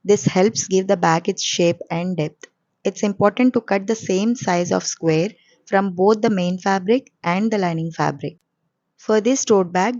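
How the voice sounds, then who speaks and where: Hoarse woman voicing a sewing tutorial. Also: fairly low pitch, no room sound, dull audio. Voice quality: coarse. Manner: calm step-by-step explaining. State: hoarse from a cold. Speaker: sewing channel host.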